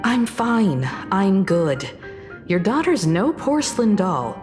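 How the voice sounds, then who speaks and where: Brief: calm, composed game navigator, unhurried delivery, reverberant space. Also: female voice, medium pitch. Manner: firm, composed, faintly exasperated. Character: poised adult woman navigator, caring daughter. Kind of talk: video game voice acting. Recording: reverberant game audio with music.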